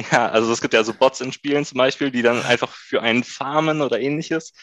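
A man in his late twenties from Berlin, answering interview questions in a relaxed way, with many fillers and short laughs. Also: medium pitch, brisk, no echo. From Berlin, Germany. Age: 20s. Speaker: guest. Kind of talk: casual interview chat.

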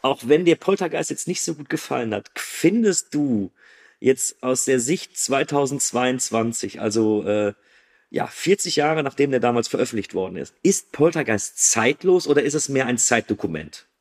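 A man in his thirties hosting a podcast, talking in a relaxed, chatty way with frequent fillers. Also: medium pitch, normal speed. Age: 30s. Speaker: podcast host. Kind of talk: casual podcast conversation.

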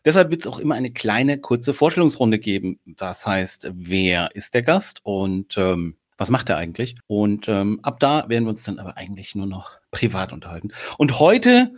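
A man's voice: on the low side, big pitch swings, normal speed, hardly any pauses. A man hosting a podcast in casual chat, talking loosely with many filler sounds. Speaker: podcast host.

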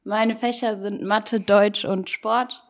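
A woman's voice: medium pitch, fairly even intonation, takes it slowly. A twenty-one-year-old woman, a student teacher, answering briefly and matter-of-factly. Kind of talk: casual interview answers.

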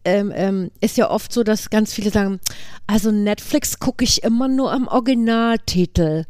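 A woman co-hosting a casual podcast chat, talking in a lively, animated way and firing off questions. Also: mid-range voice, moderate pace, clear, resonant tone, dry recording, clean studio sound. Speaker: podcast co-host.

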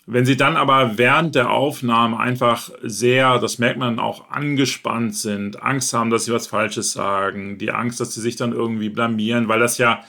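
Gravelly, bright-voiced chatty man. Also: mid-range voice, steady tone, moderate pace, few pauses. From northern Germany. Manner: chatty, thinks aloud with fillers. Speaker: podcast host being interviewed. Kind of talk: relaxed conversational interview.

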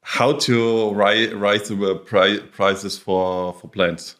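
A man interviewing a producer, asking his questions in a halting way with fillers. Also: fairly low pitch, steady tone, fairly bright tone.

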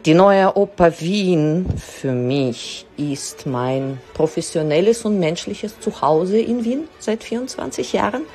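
A woman's voice: lowish voice, expressive, slow pace, hardly any pauses, dark tone, faint music behind. A woman in her forties from Israel, born in Russia, speaking as she introduces her work as a head of musical studies. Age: 40s. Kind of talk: prepared spoken self-introduction.

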